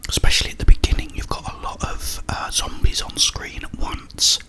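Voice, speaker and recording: A man whispering a rambling, halting ASMR monologue about video games, with a breathy unvoiced whisper and frequent pauses. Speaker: ASMR creator.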